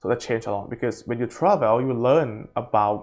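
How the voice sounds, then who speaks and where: A young Thai man speaks in a medium-pitched voice with a Thai accent. He is a startup founder and native Thai speaker answering interview questions casually, with simple non-native phrasing. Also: a little fast, a little mellow. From Thailand.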